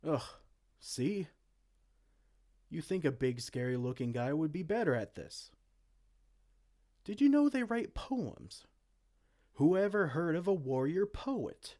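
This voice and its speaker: A man's voice: fairly high pitch, sing-song, slow, long pauses, a little mellow. A man playing a dorky, petulant evil king in a comedic fantasy roleplay, pompous, theatrical and exasperated. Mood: indignant scolding. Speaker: amateur roleplay audio performer.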